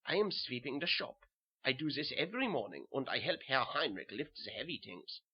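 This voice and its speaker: Through a dull, lo-fi recording comes a dazed German soldier turned butcher's helper , acted by a man. He has a middling pitch and a put-on German accent. He tells his horror story in a halting, haunted, brooding way, repeating his words. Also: lively intonation, slightly rough. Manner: halting, repetitive, brooding first-person delivery. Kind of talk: dramatic horror story reading.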